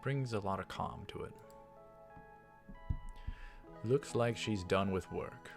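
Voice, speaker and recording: A man's voice: lowish voice, normal speed, vocal fry, music in the background. A man doing a let's play, reading visual novel dialogue and narration aloud and adding casual asides. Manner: narrates each line with dialogue tags and aside remarks.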